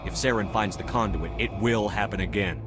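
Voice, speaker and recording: A man's voice: fairly low pitch, brisk, over music, natural voice. A man playing a serious, resolute military commander in a video game, firm and plain-spoken. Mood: urgent, firm.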